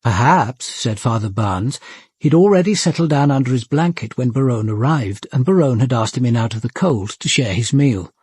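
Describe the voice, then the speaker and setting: An actor playing a composed, authoritative senior detective in a radio drama, with a British accent and a measured, matter-of-fact delivery. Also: male voice, mid-range voice, lively intonation, darkish tone, breathy edge, no room sound. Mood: measured, reflective. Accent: British English. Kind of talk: radio drama narration and dialogue.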